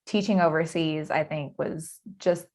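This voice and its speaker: American lady hosting a chat, lowish voice, lively and laughy. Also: measured pace. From United States. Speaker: host. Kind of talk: casual interview chat.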